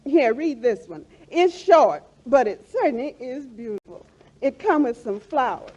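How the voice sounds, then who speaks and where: A sentimental, wounded wife from a vintage radio comedy. Her high voice swoops expressively through plaintive, folksy lines. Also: big pitch swings, a little slow, a little mellow, husky, full character voice. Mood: hurt. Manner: plaintive, emotional, folksy grammar. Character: hurt, sentimental wife of twenty years. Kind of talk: 1940s radio comedy acting.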